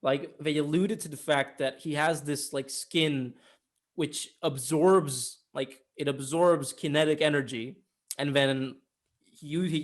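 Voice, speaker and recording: A man co-hosting a casual film review, giving opinions in a blunt, argumentative way with some swearing. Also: on the high side.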